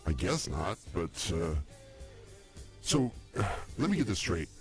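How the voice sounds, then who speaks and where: A man with a deep voice co-hosts a comedy podcast. His pitch moves around a lot as he banters, haltingly and with plenty of repetition. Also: sing-song, soft background music. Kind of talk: improvised comic banter.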